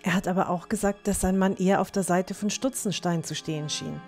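A woman's voice: lowish voice, fairly even intonation, fairly quick, darkish tone, soft background music. A woman playing a resolute, stern young heroine with firm morals in a fantasy audio drama, speaking decisively. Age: young adult. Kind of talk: fan-made fantasy audio drama acting.

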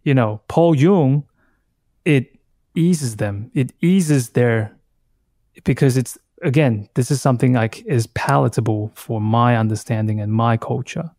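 A man in his thirties from Taiwan, a native Taiwanese speaker, talking reflectively as an interview guest in a halting, thoughtful way, often circling back to rephrase. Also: medium pitch, darkish tone, dry recording, studio quality. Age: 30s. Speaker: podcast guest, artist.

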